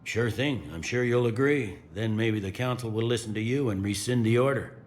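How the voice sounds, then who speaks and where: Man acting a blunt, gruff police commander. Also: mid-range voice, moderate pace, lightly acted. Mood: resigned. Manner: blunt, wry. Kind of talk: video game dialogue acting. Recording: faint background noise and ambience.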